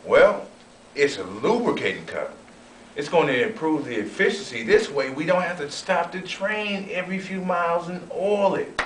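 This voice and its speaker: Man playing animated, proud young railroad oilman. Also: a little high, moderate pace, close to an everyday voice. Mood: excited, eager. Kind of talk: amateur read-through of a period sketch. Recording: roomy, distant, noisy amateur recording.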